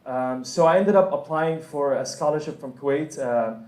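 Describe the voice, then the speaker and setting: A man's voice: a little high, normal speed, darkish tone, reverberant. A young man from the UAE giving a casual, chatty talk to students, with lots of fillers and frequent laughs. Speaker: conference speaker. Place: room with an audience.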